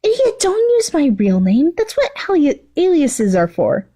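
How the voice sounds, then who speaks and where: Woman acting a cocky young villainess, raised pitch, sarcastic, exasperated, dismissive, anime story. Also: wildly swooping pitch, normal speed, dark tone. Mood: annoyed. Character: irritable, cocky, sarcastic young female supervillain.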